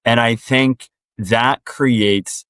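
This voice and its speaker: A man speaking as a podcast guest in an earnest, direct way, sharing reflections in relaxed conversation and quickening when he presses a point. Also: mid-range voice, clear, resonant tone, no echo.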